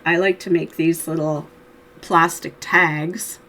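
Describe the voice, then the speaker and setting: Hoarse woman teaching knitting. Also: low voice, steady tone, slow, fairly bright tone. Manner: friendly, chatty, with little laughs. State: hoarse from a cold. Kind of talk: casual how-to tutorial.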